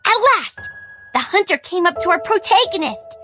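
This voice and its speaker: Woman as a high-voiced girl telling horror stories. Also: lively intonation, moderate pace, over music. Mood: dramatic, grim. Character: imaginative young girl earnestly telling her horror stories. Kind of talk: dramatic storytelling in a scripted drama.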